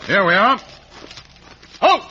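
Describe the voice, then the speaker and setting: Forceful, blustering army sergeant. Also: male voice, sits high, very animated, takes it slowly, full character voice. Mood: barking orders. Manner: commanding, barking. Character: brisk, blustering army sergeant in the king's service. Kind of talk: 1950s radio drama acting. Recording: noisy, dull vintage radio broadcast.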